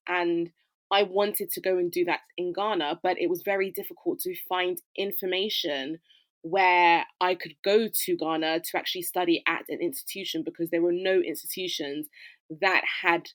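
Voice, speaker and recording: A woman with a low voice talks through her answers in a reflective, conversational way, with plenty of ums and you-knows. The recording is dry and close, with no room sound. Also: a bit bright, dry recording. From United Kingdom. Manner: conversational, reflective, frequent fillers. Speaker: guest, fashion educator. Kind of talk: interview answer.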